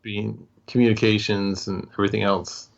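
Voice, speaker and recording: A man's voice: lowish voice, flat delivery, normal speed, vocal fry. A man co-hosting a casual talk show, agreeable and joking, on a slightly dull lo-fi recording. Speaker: podcast co-host. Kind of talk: casual chat, brief interjections.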